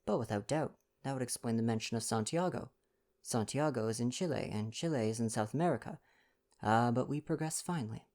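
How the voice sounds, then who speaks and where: A man's voice: mid-range voice, a little fast, darkish tone, close to an everyday voice. Older Belgian detective played by a man, storybook character: vain, courteous, self-important, formal foreign turns of phrase. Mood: brisk, confident. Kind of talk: storybook dialogue reading.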